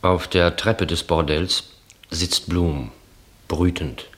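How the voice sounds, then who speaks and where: A sober narrator in a literary radio play. His male voice is low, and he delivers stage directions at a very slow pace. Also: steady tone, fairly bright tone. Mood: measured. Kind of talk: scripted radio drama narration.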